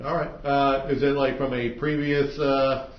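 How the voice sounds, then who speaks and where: A man's voice: mid-range voice, flat delivery, measured pace. An American man speaking as a judge, running jury selection in a casual, plain-spoken way with informal questions and instructions. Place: courtroom. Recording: echoey courtroom, muffled, faint noise.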